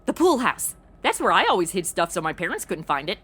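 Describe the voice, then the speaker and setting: A woman's voice: lowish voice, big pitch swings, brisk, a bit bright, breathy edge, full character voice. An actress playing a flippant, ditzy modern young woman, sassy and unfazed, in a comedic audio drama. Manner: sassy, exaggerated modern slang delivery.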